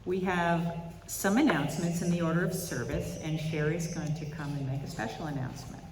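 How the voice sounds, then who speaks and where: A service leader speaking to a congregation in a measured, deliberate way, giving announcements and readings. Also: mid-range voice, steady tone. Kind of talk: church announcements and solemn poetry reading. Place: church sanctuary during a service. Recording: echoey hall, distant mic, faint noise.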